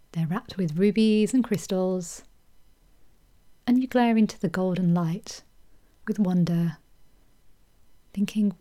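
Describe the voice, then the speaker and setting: Brief: woman, meditation guide, mellow tone, gentle and slow, drifts into whispers. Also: medium pitch. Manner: slow soothing delivery with soft whispered phrases.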